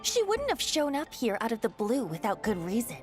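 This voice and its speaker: A woman's voice: a little high, wildly swooping pitch, fairly quick, over music. An actress playing a suspicious, demanding female boss in a video game, imperious and emphatic. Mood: indignant, suspicious. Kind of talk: scripted video game dialogue.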